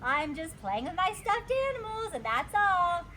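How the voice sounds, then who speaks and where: A woman voicing an excitable, dramatic little girl in a children's storybook, with emphatic childlike delivery. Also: very high-pitched, a little slow, slight background noise, pitched above the performer's own voice, heavily acted. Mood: falsely breezy. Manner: emphatic, childlike exclamations and grumbles. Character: excitable dramatic little girl narrating her own mishaps. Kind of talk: children's storybook read-aloud.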